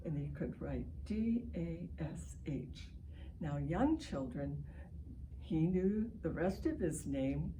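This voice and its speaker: An older woman with an American accent leads an informal online literacy lesson for families, explaining things step by step and stressing key words. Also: lowish voice. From Chicago, United States. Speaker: literacy educator leading online family reading sessions. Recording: distant mic, clearly noisy home recording.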